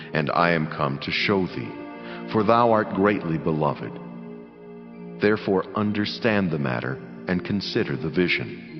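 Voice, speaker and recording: An actor playing a solemn, commanding angel in a dramatized scripture reading, speaking in measured, declamatory phrases. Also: male voice, deep voice. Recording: dull sound, long cavernous reverb, music underneath.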